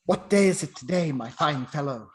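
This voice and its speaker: A man's voice: fairly high pitch, lively intonation, normal speed, close to an everyday voice. Guy doing an old miser turned generous and overjoyed, big emphatic radio play delivery. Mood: overjoyed, eager. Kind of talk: amateur radio play acting.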